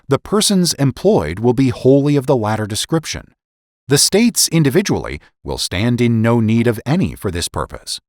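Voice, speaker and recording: A man narrating a dramatic reading of an old political essay in a formal, measured, emphatic oratorical manner. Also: fairly low pitch, dry recording, studio quality. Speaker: podcast host and narrator.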